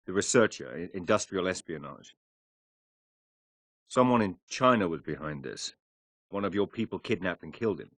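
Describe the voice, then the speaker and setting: Terse, deep-voiced British secret agent. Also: male voice, expressive, moderate pace, long pauses, fairly bright tone, dry recording, heavily acted. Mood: wary, dry. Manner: terse, dry, businesslike. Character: composed, determined male British secret agent. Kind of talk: game cutscene acting.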